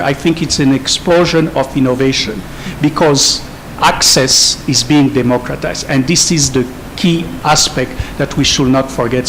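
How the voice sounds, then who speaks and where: A man giving unscripted remarks as a conference panel speaker, halting and often rephrasing mid-sentence. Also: medium pitch, a little hiss or hum, low-quality recording.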